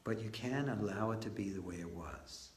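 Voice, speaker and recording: A man leading a guided meditation as its teacher, speaking in short phrases and gentle questions with long pauses between them. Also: on the low side. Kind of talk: guided meditation led live, slow and spoken in short phrases. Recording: roomy echo with faint background noise.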